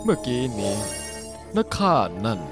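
A man's voice: moderate pace, over loud music, natural voice. A man voicing a haughty, scheming ice-bird demon aristocrat in a fan-dubbed cartoon, theatrical and condescending. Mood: sly, condescending. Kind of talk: Thai fan-dub cartoon acting.

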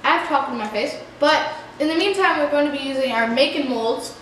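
A high, bright-voiced teenage girl chatting in a giggly, playful way while she cooks. Her voice bounces around a very echoey home kitchen. A camera picks it up from across the room, and there is clear noise underneath. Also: fast talker, recorded from a distance. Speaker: vlog co-host. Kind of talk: casual cooking demo. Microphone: far camera on a tripod. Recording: echoey kitchen, noisy, from afar.

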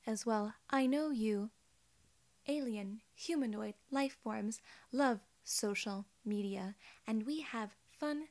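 A soft-spoken female alien gatekeeper, played by a young woman, with a slightly high voice. She is formal, measured and reassuring, in the style of close-up ASMR role-play. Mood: polite, reassuring. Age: 20s.

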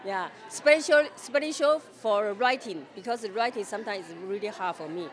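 A middle-aged woman from Taiwan speaks English as a second language, her first tongue being Mandarin Chinese. She talks earnestly in short, simple phrases at a middle pitch. She is interviewed with a handheld mic inside a restaurant hall. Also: moderate pace, a faint crowd. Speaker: restaurant owner and adult learner.

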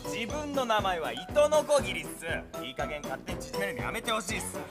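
A man playing a loyal, put-upon police detective, loud and plaintive in a comic stage play. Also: sits high, very animated, fairly quick, over loud music. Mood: complaining. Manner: loud, plaintive, rough-and-ready speech. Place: theatre stage.

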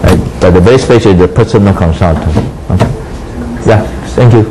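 A man with a very deep voice leads a class discussion with short questions and brief replies. Steady background noise runs under him. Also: moderate pace. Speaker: professor.

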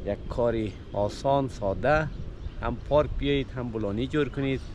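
A man's voice: medium pitch, a little hiss or hum. A man in his thirties with an Afghan Dari accent, chatting casually to the camera outdoors at a park picnic while cooking and tasting food. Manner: relaxed, chatty, talking to camera while eating. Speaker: vlogger.

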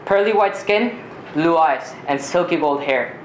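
A teenage male from Ecuador, a native Spanish speaker, reading a personal story aloud in a measured, deliberate way with occasional stumbles. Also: on the high side, animated. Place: small community theater stage. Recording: roomy live stage recording, faint noise.

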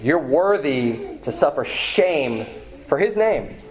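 A man in his thirties preaching a sermon from a pulpit, emphatic, repeating phrases and climbing in pitch when stirred. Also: expressive, takes it slowly. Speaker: pastor. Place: small church hall with banner, indoors. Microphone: far camera, at a pulpit.

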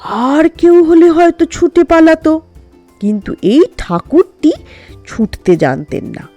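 A woman narrating a children's story in an animated, expressive storytelling manner. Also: sits high, no echo, lo-fi sound, soft background music. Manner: slow, animated, storytelling delivery.

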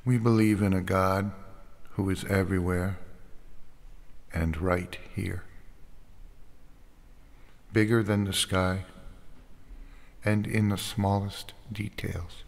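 A man reading a statement of faith as a church speaker, in a solemn liturgical style with measured short phrases and deliberate pauses. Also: low voice, fairly even intonation, creaky. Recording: long hall-like reverb.

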